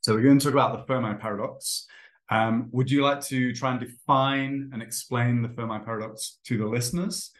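A man in his thirties hosting a casual interview podcast for English learners, friendly and full of fillers, slowing down to explain words. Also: mid-range voice, fairly even intonation. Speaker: podcast host and English teacher.

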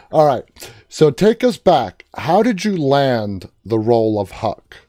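Man, interviewer from Queens. Dark, relaxed tone, earnest fan-host chat, close dry sound. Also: medium pitch, takes it slowly, no room sound. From Queens, New York, United States.

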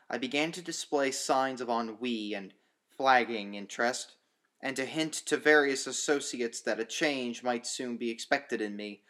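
Man reading classic horror fiction, mid-pitched. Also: a bit bright. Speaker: audiobook narrator. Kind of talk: scripted narration of a classic horror story.

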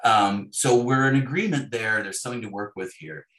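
A man's voice: on the low side, brisk, a bit bright. A man speaking as a guest author in a conversational interview, acting out imagined dialogue as he tells his stories. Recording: roomy, echoey sound.

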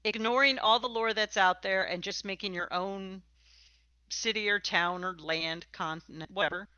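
Bright-voiced woman podcast host, slightly dull audio. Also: medium pitch, moderate pace, clear, resonant tone, no echo. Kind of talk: casual conversational interview.

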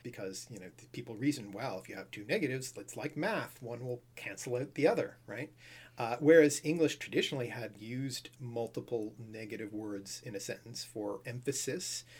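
Husky-voiced man explaining language history. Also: mid-range voice, moderate pace, darkish tone. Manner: thoughtful, explaining with fillers and restarts. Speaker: language expert guest. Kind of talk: casual explanatory conversation.

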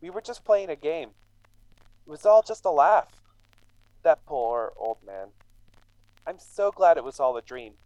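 A man's voice: on the high side, sing-song, measured pace, pauses often, darkish tone, no echo, low-quality recording. A man acting in a radio drama as a confused, remorseful, injured immigrant farmhand who falters and stammers. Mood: delirious, wistful. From England.

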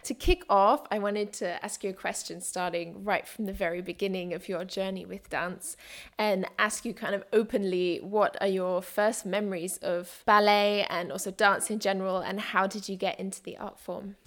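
A woman's voice: medium pitch, steady tone, moderate pace, a bit bright. A young woman hosting a dance podcast, asking questions in an upbeat, enthusiastic way. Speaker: podcast host and dance critic. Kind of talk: podcast interview.

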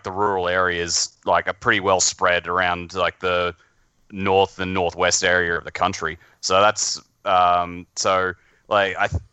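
A man's voice: low-pitched, bright, forceful tone, clear, resonant tone, no room sound. A man with an Australian accent chatting casually as a podcast guest, often hesitating as he thinks aloud. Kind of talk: casual chat.

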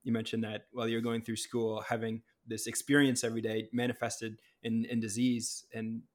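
A man hosting an interview, speaking softly and haltingly, often repeating words as he thinks. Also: mid-range voice, monotone. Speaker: podcast host. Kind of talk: conversational interview.

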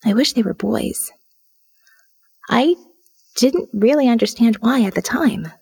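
A woman performs a restless, sardonic young woman confiding in her diary for an audio novel. Her voice sits a little high and has a husky, breathy edge. She is wry and defiant, and she uses dramatic pauses as she confesses. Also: expressive, darkish tone, full character voice. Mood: wry, confessional. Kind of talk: dramatic first-person audio fiction narration.

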